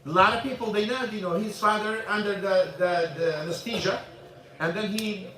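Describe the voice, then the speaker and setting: High-voiced imam teaching in an echoey mosque. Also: male voice, a bit bright, slight background noise. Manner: halting, repeats words, switches into Arabic. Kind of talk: informal religious lesson with questions. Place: mosque classroom. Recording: echoey room, mic at a distance.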